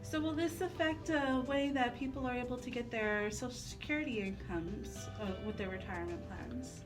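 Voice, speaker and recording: A woman's voice: on the high side, moderate pace, music in the background. A woman co-hosting a radio talk show in a conversational style. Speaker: radio co-host.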